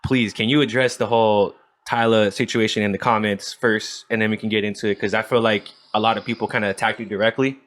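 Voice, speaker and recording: A man's voice: lowish voice, little pitch movement, quick pace, a bit bright. A man co-hosting a podcast, talking things through in an informal, explanatory way. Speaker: podcast co-host. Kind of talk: casual discussion.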